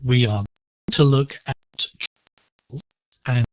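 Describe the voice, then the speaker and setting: Slow, deliberate male educational presenter. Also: mid-range voice, steady tone, a little mellow, dry recording, rough recording. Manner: measured, didactic. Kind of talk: scripted lesson narration. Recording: digital shortwave radio broadcast, slightly rough.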